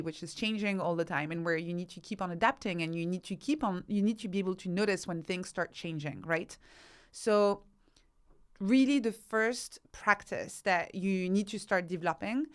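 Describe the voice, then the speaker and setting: A woman's voice: mid-range voice, clear, resonant tone. A woman giving explanatory interview answers as a podcast guest, teaching through rhetorical questions and examples. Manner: instructive, uses rhetorical questions and acted-out examples. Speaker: podcast guest, researcher and author.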